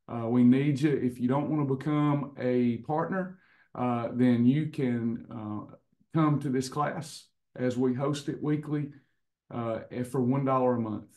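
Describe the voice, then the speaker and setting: A hoarse man in his fifties hosting a casual online recipe and wellness class over a webcam, chatty, with frequent restarts. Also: mid-range voice, darkish tone. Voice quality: slightly hoarse, clears throat. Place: indoors in front of a printed backdrop. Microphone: webcam on a video call.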